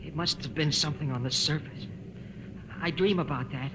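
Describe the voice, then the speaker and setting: A man's voice: fairly high pitch, moderate pace, natural voice. An actor playing an anxious, wistful young crewman, earnest and uneasy, in 1950s radio drama style. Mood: wistful yearning. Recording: reverberant, noisy old radio recording.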